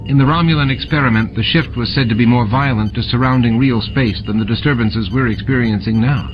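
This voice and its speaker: A man's voice: mid-range voice, brisk, dull audio, background noise, natural voice. A man voicing a female science officer in an audiobook, giving clipped technical reports. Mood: explaining. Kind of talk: audiobook character dialogue.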